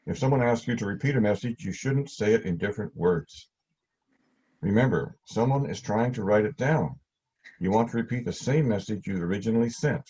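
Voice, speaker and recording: A man giving scripted instructional narration in a plain, measured way, as a class instructor. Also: fairly low pitch, expressive, mellow, breathy edge. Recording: dull, lo-fi audio.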